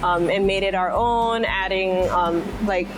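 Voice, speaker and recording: A woman's voice: medium pitch, steady tone, measured pace, music in the background, poor audio. A woman casually explaining her family business, with many fillers.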